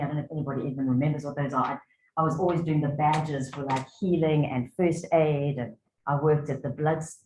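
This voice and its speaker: A woman's voice: low-pitched, a little mellow, a little hiss or hum. A woman in her forties with a South African accent, giving a conversational wellbeing keynote to a conference of doctors and asking the audience questions. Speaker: wellbeing speaker. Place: conference hall. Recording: echoey hall, faint ambience.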